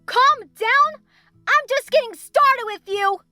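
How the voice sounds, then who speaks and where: A woman's voice: very high voice, slow, bright, forceful tone, no room sound, pitched above the performer's own voice, heavily acted. A woman voicing an emotional, exhausted young male fox kid from a cartoon game in a fan drama. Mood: furious, anguished. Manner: emotional, pleading, tearful.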